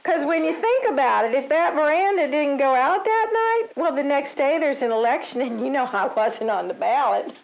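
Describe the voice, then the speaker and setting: A suburban club woman campaigning in a comic audio drama. Her voice sits high and chirpy. She rambles on, eager to please and happily tactless about her own self-interest. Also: expressive, normal speed, vocal fry, dull audio. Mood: gleeful, mock-solemn. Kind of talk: comedic audio drama acting.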